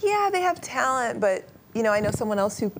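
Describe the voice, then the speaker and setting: A woman moderating a live panel conversation at a rapid clip. She is chatty and animated. She laughs often as she frames her questions. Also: on the high side, slight background noise, low-quality recording.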